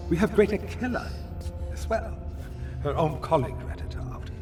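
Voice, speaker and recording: An actor playing an anxious, bargaining informant in a video game scene. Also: male voice, high-pitched, animated, moderate pace. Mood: nervous, insistent. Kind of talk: video game cutscene acting. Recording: distant echoey sound, loud music.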